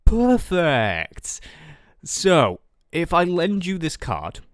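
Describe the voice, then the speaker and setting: A man's voice: fairly high pitch, huge pitch swings, very slow, smooth, no room sound, clean studio sound, full character voice. A man playing a world-weary, sarcastic final-year student in a casual roleplay, muttering hesitant asides. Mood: casual, awkward. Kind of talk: casual improvised Minecraft anime roleplay. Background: music.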